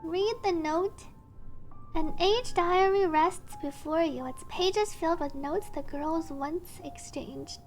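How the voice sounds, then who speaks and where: A woman livestreamer reading game text aloud and adding chatty asides, with game music behind her. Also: very high-pitched. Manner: reads story text slowly, then chatty asides. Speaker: streamer, VTuber.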